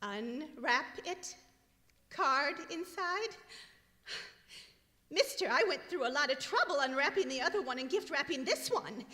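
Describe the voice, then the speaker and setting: Actress as exasperated shopgirl, theatrical old-time radio comedy; slow, very lively delivery, reverberant live stage. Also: high-pitched, heavily acted. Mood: exasperated, indignant. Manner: theatrical, expressive, emphatic. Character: married female shop clerk, sweet turning exasperated, scolding.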